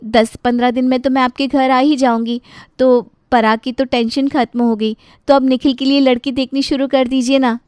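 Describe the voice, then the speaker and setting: A woman voicing a cheeky, chatty young bride in an animated family story, teasing and playful. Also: sits high, moderate pace, clean tone, dry recording, clean studio sound, natural voice. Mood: sweet, eager. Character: cheeky, chatty young bride who fusses over her in-laws.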